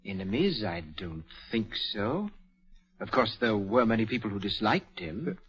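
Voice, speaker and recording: Polite, husky-voiced, swooping radio valet. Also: male voice, lowish voice, very animated, a little slow, fairly bright tone, exaggerated voice. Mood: polite, reluctant. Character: polite, reluctant, outspoken male valet. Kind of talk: 1940s radio mystery acting. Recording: muffled vintage 1940s radio recording.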